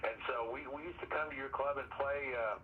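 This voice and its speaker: A man's voice: mid-range voice, fairly even intonation, brisk, over a noisy crowd. An older man with an American accent, a drummer chatting casually and hesitantly about old times over the telephone. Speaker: drummer and interview caller. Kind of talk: casual reminiscing phone chat. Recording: noisy telephone call.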